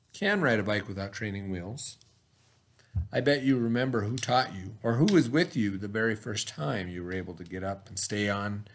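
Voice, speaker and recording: A man speaking as a teacher to children, expressive and encouraging, with dramatic emphasis and pauses. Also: mid-range voice. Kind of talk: expressive read-aloud for children.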